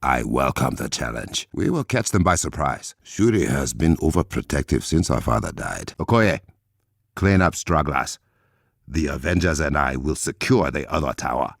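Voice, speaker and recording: Regal game warrior king, African accent, gravelly, widely swinging intonation, authoritative, measured formal diction, close dry sound. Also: a bass voice, lively intonation, no room sound, full character voice. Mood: commanding, resolute. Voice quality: gravelly, husky. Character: regal, commanding warrior king, stern and proud, adult man. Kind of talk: video game voice acting.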